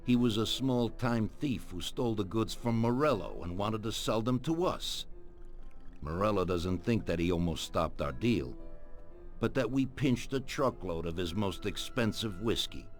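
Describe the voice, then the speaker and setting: Game role: older mob boss played by a male actor, lowish voice, commanding, deliberate, self-assured, faint music underneath. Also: lively intonation, natural voice. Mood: smug, pleased. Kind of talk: video game voice acting.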